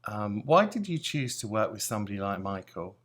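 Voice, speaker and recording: Male presenter, middle-aged, lower register, interview host setting out details and asking questions. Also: very animated, a little fast, a bit bright.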